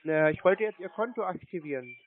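Casting: cheeky male phone prankster, slightly high voice, casual and teasing, narrow dry phone sound. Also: lively intonation, fairly quick. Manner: feigned earnest, cheeky, teasing. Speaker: prank caller answering scam calls.

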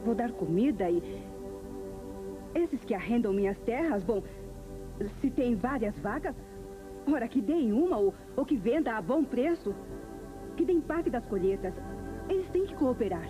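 An actress with a Brazilian accent playing a determined, idealistic young landowner in a dubbed telenovela. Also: female voice, fairly high pitch, lively intonation, measured pace, pauses often, over music. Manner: firm, stubborn. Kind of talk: dubbed 1970s telenovela acting.

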